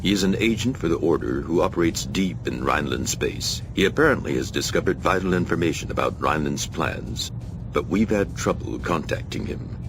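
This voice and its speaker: A deep, gravelly, solemn commander. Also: male voice, lively intonation, brisk, noisy background. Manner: formal, solemn, rhetorical. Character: grave, commanding male leader of a secret order. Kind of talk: dramatic video game cutscene acting. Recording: loud background music.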